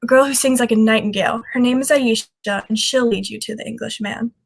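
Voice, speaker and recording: A fairly high-voiced young woman of the underworld, acted by a woman, running a shady café in an old radio drama. She is a charming scoundrel, greedy and playful, always wheedling. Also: steady tone, fairly quick, vocal fry. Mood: confiding, coaxing. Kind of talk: 1940s radio drama acting.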